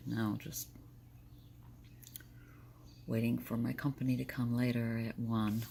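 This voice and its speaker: An older woman with a deep, gravelly, smoker-like morning voice, tired and short on sleep, talking candidly in a rambling personal video diary. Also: flat delivery, slow, warm tone, a little hiss or hum. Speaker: vlogger.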